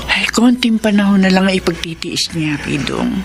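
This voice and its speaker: Expressive, fretful Filipino mother played by a woman. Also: medium pitch, moderate pace, faint music behind, close to an everyday voice. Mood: resigned. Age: middle-aged. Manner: fretful, coaxing, practical. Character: concerned, practical middle-aged wife and mother, gently nagging. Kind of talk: Filipino radio drama acting.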